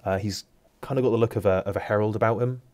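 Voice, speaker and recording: A man narrates a tabletop game as game master in a casual, halting way, with frequent fillers. His voice sits low and is recorded close and dry. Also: fairly even intonation, darkish tone, no echo. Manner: casual, hesitant, many fillers.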